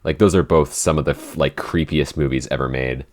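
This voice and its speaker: A man in a casual unscripted podcast Q&A, talking in a halting, rambling way with lots of "like". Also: extremely low pitch, steady tone. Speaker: podcast creator and co-host answering listener questions.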